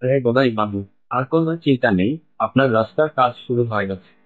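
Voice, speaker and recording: A man plays an officious town official in a cartoon. His voice is mid-pitched and matter-of-fact, with a dismissive air. The recording is narrow, tinny and dry. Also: quick pace, no echo, faint music behind, close to an everyday voice. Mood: puzzled, officious. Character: brusque, dismissive municipal officer. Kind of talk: Bengali cartoon story acting.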